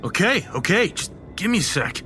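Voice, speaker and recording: Horror drama role: protective boyfriend male actor, bright forceful voice, swinging pitch, quick temper. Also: very animated, soft background music, heavily acted. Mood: concerned. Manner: tense, forceful outbursts. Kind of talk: acted audio horror drama.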